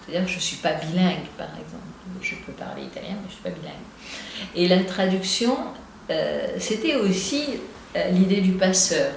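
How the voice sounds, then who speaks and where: A woman's voice: lowish voice, lively intonation, normal speed, vocal fry. A poet and translator from Marseille, a woman with a Marseille accent, who talks through her thoughts with frequent hesitations and restarts. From Marseille, southern France. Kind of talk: reflective interview talk. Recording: very echoey room with faint noise.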